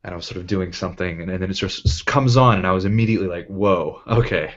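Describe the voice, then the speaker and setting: A man with a deep voice chats casually on a panel. He is emphatic, leaning on and repeating key words. The recording is dull and lo-fi. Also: fast. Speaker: cast member on a panel. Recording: dull lo-fi voice call.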